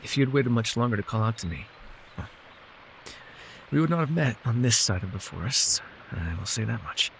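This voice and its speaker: A man playing a gentle, weary immortal elf healer with a wry streak, speaking softly in measured, formal phrases for an intimate fantasy ASMR roleplay. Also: mid-range voice, expressive, vocal fry, slight background noise, rough recording. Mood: wry, chiding.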